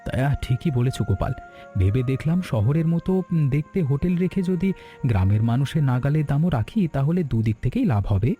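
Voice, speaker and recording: A man's voice: mid-range voice, lively intonation, normal speed, mellow, soft background music, natural voice. A man playing an earnest, polite village hotel owner in a cartoon moral story.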